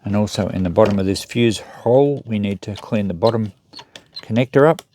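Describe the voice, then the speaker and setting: A man's voice: fairly low pitch, brisk, background noise. A man giving casual, unscripted commentary as he thinks aloud through a hands-on repair job. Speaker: host of a repair channel.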